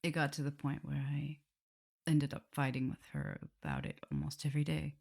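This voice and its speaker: A woman's voice: low voice, sing-song, gravelly, exaggerated voice. A woman playing a tender, nervous vampire mistress in an intimate ASMR roleplay, speaking hesitantly and often slipping toward a whisper. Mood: regretful. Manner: hesitant, halting, breaking into whispers and soft laughs. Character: tender, nervous, guilt-ridden female vampire mistress in love.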